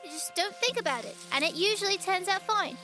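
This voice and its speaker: A woman's voice: very high voice, expressive, brisk, over music. A woman playing a fearless, optimistic seven-year-old girl in an audio drama, cheeky and matter-of-fact. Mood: upbeat, confident.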